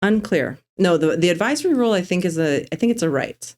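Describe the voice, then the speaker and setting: A woman with a low voice talks quickly as a podcast guest. She is blunt and outspoken and moves briskly from point to point. It is relaxed talk with a friend. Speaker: guest attorney. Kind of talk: casual podcast conversation.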